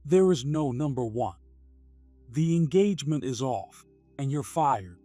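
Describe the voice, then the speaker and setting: A man's voice: on the high side, animated, moderate pace, darkish tone, no room sound, faint music behind, natural voice. A man playing a stern, authoritative older company president in a story drama, commanding and angry. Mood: cold fury. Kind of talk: scripted dramatic story dialogue.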